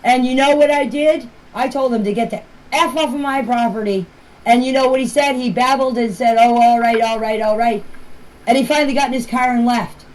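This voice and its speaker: A woman's voice: a little high, a little fast, a little mellow, rough recording. A woman talking casually and plainly, as if leaving voice messages for a group chat, in an amateur recording. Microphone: voice clips recorded for a group chat.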